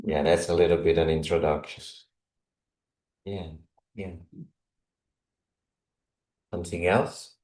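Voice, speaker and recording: A man's voice: sits low, fairly even intonation, slow, pauses often, darkish tone. A Brazilian-born man chatting casually with a congregation before his sermon, laughing often and tagging "you know" and "right?" onto his sentences. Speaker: visiting preacher. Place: church hall. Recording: echoey hall, mic from a distance.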